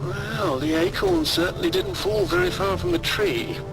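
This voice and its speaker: An actor playing a skeptical, wry expedition sidekick in video game cutscenes, with music behind him. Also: male voice, extremely high pitch, huge pitch swings, moderate pace, gravelly, full character voice, low-quality recording. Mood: curious.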